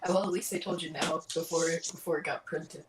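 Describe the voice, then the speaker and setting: A quiet-voiced woman chatting casually as a guest artist on a stream, laughing often. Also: low-pitched, fairly even intonation, fairly quick. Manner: soft-spoken, laughs often. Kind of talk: casual chat. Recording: noisy stream mic.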